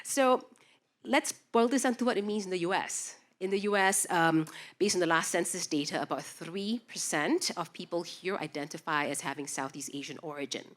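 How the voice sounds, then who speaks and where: A woman's voice: fairly low pitch, moderate pace. A woman who was born in Indonesia and grew up in Singapore, introducing a panel on a festival stage with an upbeat, fact-listing manner and many fillers. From Indonesia, raised in Singapore. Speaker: panel moderator. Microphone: stage microphone.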